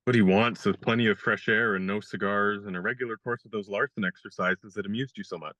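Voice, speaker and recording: An actor in a radio play playing a young gentleman disguised as a valet, speaking in a dry, good-humored, polite way. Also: on the low side, fairly even intonation, fast, no room sound, highs cut off. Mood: wry.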